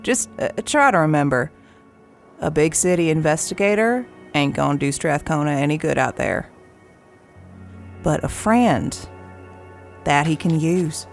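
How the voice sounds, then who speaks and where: A folksy park warden, older, salty, lively lilt, low-set voice. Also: female voice, a little slow, creaky, long pauses, soft background music, full character voice. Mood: reassuring. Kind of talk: audio drama acting.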